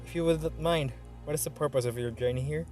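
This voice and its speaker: A man's voice: fairly high pitch, fast, darkish tone, soft background music, close to an everyday voice. A man playing a courtly chieftain of legend in an amateur radio drama, speaking formally and politely. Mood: polite, curious.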